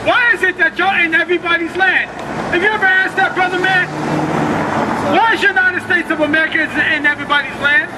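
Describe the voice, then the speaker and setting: Shouting high-voiced man preaching outdoors. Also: steady tone, brisk. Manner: loud, confrontational, addresses passersby directly. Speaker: street preacher. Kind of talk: street preaching. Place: outdoor city street corner. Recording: outdoor street with crowd and traffic noise.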